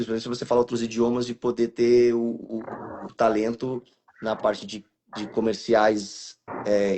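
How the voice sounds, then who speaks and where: A man's voice: medium pitch, darkish tone, a little hiss or hum. Brazilian man chatting with many restarts. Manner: rambling, with many restarts and fillers. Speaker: guest actor and voice actor. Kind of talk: casual interview over a live stream.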